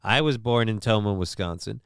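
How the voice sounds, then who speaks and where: A man's voice: on the low side, normal speed, vocal fry, clean tone, dry recording, studio quality. A man from Minnesota hosting a casual live show, rambling and swearing while he answers the chat, with lots of restarts and fillers.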